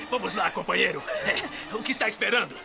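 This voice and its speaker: A Brazilian man playing a gleefully cruel, sneering masked robber in a radio drama, with a gravelly, taunting voice. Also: high-pitched, full character voice. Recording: dull lo-fi audio under loud music.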